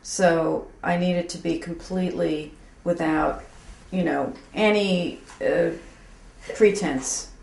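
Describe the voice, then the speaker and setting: A woman in her mid-fifties speaks in a low, gravelly voice, candid and self-deprecating, pausing often with fillers and restarting her sentences. The recording comes from an echoey room with a faint hum underneath. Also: steady tone, a little mellow. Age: 50s. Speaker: graphic designer, interviewee. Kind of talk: candid reflective interview talk.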